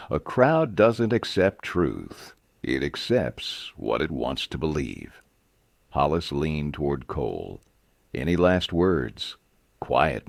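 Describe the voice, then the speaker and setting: A man's voice: extremely low pitch, vocal fry, dry recording, studio quality. A man narrating a scripted Western story dramatically, in short, suspenseful phrases.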